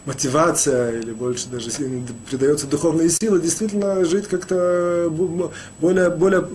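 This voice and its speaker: A man gives a spoken Torah lesson, his voice sitting a little above the usual pitch and sounding mellow and dark. He talks haltingly, restarting words and filling pauses, with a faint hiss of noise behind him. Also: steady tone. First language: Russian, rarely used in daily life. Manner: halting, many restarts and "э" fillers. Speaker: rabbi teaching a Torah lesson.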